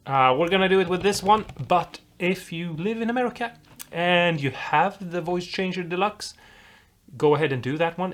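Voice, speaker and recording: Diy host from europe, mid pitch, chatty casual tutorial, kinda rambling, encouraging. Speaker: host of a DIY guitar pedal video. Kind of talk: casual tutorial, talking viewers through a build.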